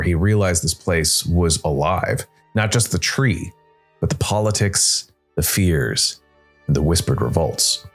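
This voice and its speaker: A man's voice: low voice, gravelly. A man hosting a story podcast, reading scripted narration. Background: music.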